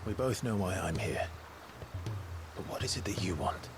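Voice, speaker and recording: A man's voice: low-pitched, very animated, moderate pace, background noise. A young man playing a brooding, determined warrior in a video game, terse and serious. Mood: guarded. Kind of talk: dramatic video game voice acting. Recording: music under the voice.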